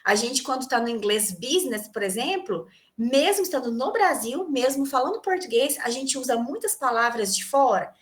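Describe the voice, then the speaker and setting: A woman teaching an English class online, her voice sitting a little high. She explains each term step by step, asks her students questions, and then answers them. She speaks into a computer mic on a video call. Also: fairly bright tone.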